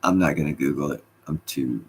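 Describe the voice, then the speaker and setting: A man's voice: extremely low pitch, fairly quick, a little hiss or hum. A man with a slight Scottish accent hosting a casual livestream, reading chat comments and joking with loose, profane banter.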